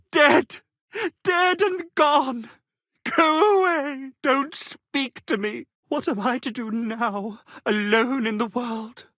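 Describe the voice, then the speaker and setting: An actor playing a vain, touchy, melodramatic medieval minstrel poet in a video game, with florid, declamatory delivery. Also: male voice, very high-pitched, sharp tone, no room sound, clean studio sound, full character voice. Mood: grieving, tearful. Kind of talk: video game character acting.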